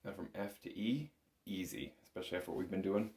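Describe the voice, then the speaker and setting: A man's voice: deep voice, lively intonation, fast, gravelly. A man giving a step-by-step music lesson as an instructor, talking in an informal, patient, encouraging way. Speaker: bagpipe instructor. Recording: roomy, echoing room sound.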